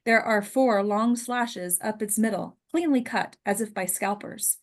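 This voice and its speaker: Woman reading horror screenplay directions, mid-pitched. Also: a little slow. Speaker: stage-direction reader at a table read. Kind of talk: dramatic scripted narration.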